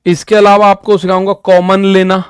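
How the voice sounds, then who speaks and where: Young male maths tutor, high voice, dry close sound, repeats key points, talks straight to students. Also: flat delivery, moderate pace, clean tone, no room sound. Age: 20s. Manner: repetitive, addresses students directly with "ठीक है" and "भाई"; explains with everyday examples. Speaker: maths teacher. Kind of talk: exam-prep maths lesson.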